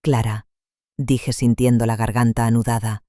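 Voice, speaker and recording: A man reads a first-person story aloud in a calm, measured, reflective voice. His tone is mellow and dark, and the recording is dry, with no room sound around him. He keeps a steady, unhurried storyteller's delivery. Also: mid-range voice, dry recording. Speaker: narrator.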